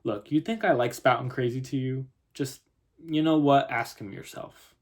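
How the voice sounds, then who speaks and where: A weary Texan mother in a story reading, voiced by a man, blunt and defensive. The pitch sits fairly low and the manner is exasperated. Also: steady tone, moderate pace, darkish tone, lightly acted.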